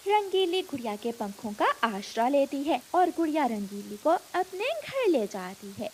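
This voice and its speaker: A high-voiced woman narrates a children's story in a scripted storytelling style. Her pitch moves in lively swings, and there is faint noise behind her. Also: moderate pace.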